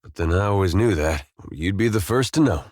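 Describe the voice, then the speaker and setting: A deep male voice, recorded very dry, a farmer turned adventurer in a video game. He is gentle and loyal, with some weariness of the world in him. He talks plainly and folksily, understating things with hesitant, dry humor. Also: lively intonation, a little fast, dry recording. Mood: awkward, fond. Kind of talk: video game companion dialogue.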